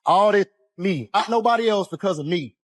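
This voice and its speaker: A man's voice: high voice, lively intonation, a little fast. A man in a heated argument, agitated and indignant, confronting someone and repeating himself.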